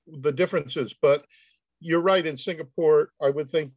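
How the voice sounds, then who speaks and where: A man on a panel answering questions informally in a halting, hesitant way. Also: on the high side, a little mellow, no echo. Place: indoor room on a video call.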